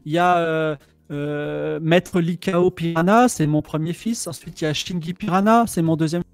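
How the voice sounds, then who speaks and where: Guy putting on a little old granny voice, seventy, all sweet and fussy, pitched up, dry sound. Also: fairly even intonation, normal speed, creaky, no room sound. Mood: fussing hostess. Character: old Roman grandmother, seventy, sweet hostess.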